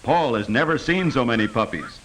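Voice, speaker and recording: A man's voice: mid-range voice, lively intonation, a little fast, a bit bright. A man narrating a 1950s educational film, telling a simple story earnestly, as if for children. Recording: noisy vintage 1950s film soundtrack.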